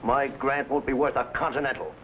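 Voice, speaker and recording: An actor playing a worried, secretive, commanding landowner in an old adventure drama, speaking in a clipped, urgent way. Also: male voice, mid-range voice, a little fast, a little hiss or hum, close to an everyday voice. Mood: grim. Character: worried, secretive, commanding landowner fearing gold will ruin him. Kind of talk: 1950s adventure drama acting. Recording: dull old broadcast, loud music.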